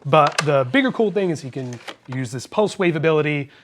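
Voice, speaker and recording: The co-host at a tabletop hobby show, a man with a slightly high voice who casually explains how each piece plays. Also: expressive, fairly quick, a bit bright, a little hiss or hum. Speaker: co-host explaining the game rules.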